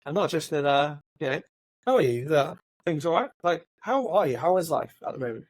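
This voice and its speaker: A man hosting a podcast interview, asking questions and encouraging his guest with soft backchannels. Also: on the high side, expressive, brisk, no room sound. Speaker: podcast host.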